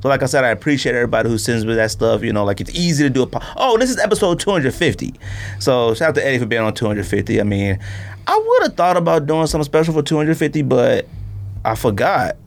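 A man hosting a podcast in casual chat, rambling and slangy as he tells animated stories in a studio. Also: medium pitch, quick pace. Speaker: sneaker podcast host. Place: podcast recording room, in studio.

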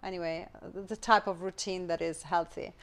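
A woman's voice: lowish voice, fairly even intonation, fairly bright tone. Emphatic woman interviewer. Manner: emphatic, repeats phrases, speeds up and slows down. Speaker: podcast host; entrepreneur and mother. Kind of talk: interview chat.

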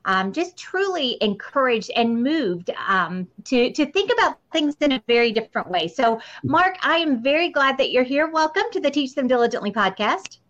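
A woman's voice: high-pitched, sing-song, normal speed, hardly any pauses, a bit bright, lo-fi sound. A woman speaking as a podcast host, introducing the show and interviewing a guest.

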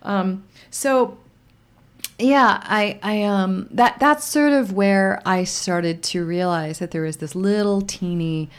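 A woman speaking as an interview guest in a relaxed, reflective way, with many fillers and restarts. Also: medium pitch, slow pace, clean tone. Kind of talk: casual conversational interview.

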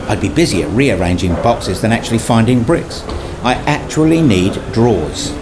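A man playing an earnest, pedantic husband and father who narrates his day in a radio play, with a deliberate, fussy manner. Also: fairly low pitch, background noise, natural voice. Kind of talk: radio play dialogue and first-person narration.

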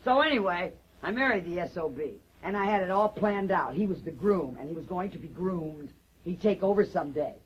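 An actress playing a bitter, taunting middle-aged wife in a stage drama, mocking and theatrical with drawn-out emphasis. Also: female voice, on the low side, lively intonation, muffled recording, a little hiss or hum, close to an everyday voice. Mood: goading. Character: bitter, taunting middle-aged wife telling stories about her husband.

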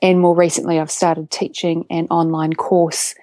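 A woman with a New Zealand accent talks through her career as an interview guest, eager and a little halting, full of ums and repeated words. Her voice sits on the low side, and the recording is dry and close with no room sound. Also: flat delivery, dark tone, no echo. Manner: enthusiastic, with frequent restarts and ums. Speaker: guest, neuroscientist and science communicator. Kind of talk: conversational interview.

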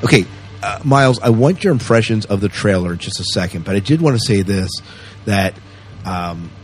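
A man's voice: fairly low pitch, animated, moderate pace, faint music behind. A man co-hosting a podcast, chatting casually and enthusiastically about science fiction news. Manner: chatty, enthusiastic, asks his co-host questions. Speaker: podcast co-host. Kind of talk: casual sci-fi news chat.

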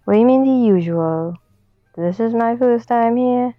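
An actress playing a woman with a Trinidadian accent on a dinner date, speaking in an even, matter-of-fact way. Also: a little high, unhurried, no room sound, narrow, tinny audio, faint music behind, natural voice. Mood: puzzled. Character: adult woman on a dinner date, a simulated companion.

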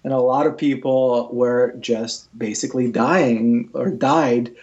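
A man's voice: mid-range voice, steady tone, slow pace, darkish tone, muffled recording. A man from Ukraine whose first language is Russian, a guest telling his life story in an interview in an earnest, reflective way. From Ukraine, former Soviet Union. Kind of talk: personal storytelling in conversation.

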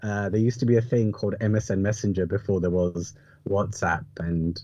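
A man's voice: sits low, level tone, fairly quick, darkish tone, muffled recording. A man in his thirties talking reflectively on a podcast, hesitant and rephrasing himself as he thinks.

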